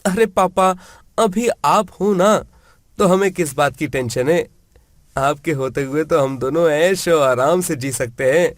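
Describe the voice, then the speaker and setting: A man's voice: on the high side, measured pace, dry recording, lightly acted. A man voicing a spoiled, greedy grown son, brash and dismissive, in a storybook tale. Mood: carefree.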